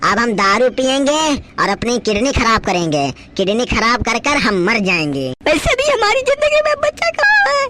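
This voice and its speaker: A man's voice: very high voice, huge pitch swings, moderate pace, few pauses, very noisy, full character voice. A man voicing a self-pitying, heartbroken village chief in a comedy cartoon, wailing melodramatically in a rural Uttar Pradesh accent. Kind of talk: amateur comedy cartoon dialogue.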